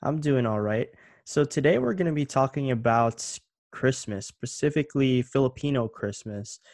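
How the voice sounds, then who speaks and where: A young man from Canada hosts a casual interview, easygoing and a little halting, feeling his way through questions with "um"s and restarts. His voice sits at an ordinary middle pitch in a dry, close recording. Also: no echo. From Canada (Ontario). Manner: relaxed, hesitant, with many "um"s and restarts. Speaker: interview host.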